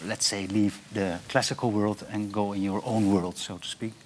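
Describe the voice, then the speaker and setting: A middle-aged man hosting an interview, asking short questions and nodding along with quick backchannel. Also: fairly low pitch, fairly even intonation, slight background noise. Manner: short prompts and frequent backchannel. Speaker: host. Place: bright room with big windows, indoors. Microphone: lapel.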